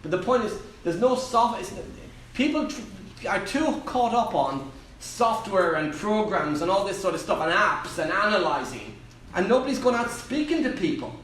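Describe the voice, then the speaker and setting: Irish male trainer, high voice, punchy and repetitive, distant mic with room echo. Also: very animated, normal speed, fairly bright tone. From Ireland. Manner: emphatic, repeats key phrases. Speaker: property trainer on a Q&A panel. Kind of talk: live seminar Q&A answer. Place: live event room. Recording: echoey room, distant mic, faint noise.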